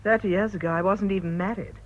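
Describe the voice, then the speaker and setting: An actress playing an earnest, proper middle-aged former missionary wife with a British accent, speaking in measured radio drama style. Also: female voice, medium pitch, steady tone, close to an everyday voice. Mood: troubled. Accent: British English. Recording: dull lo-fi radio recording with noise.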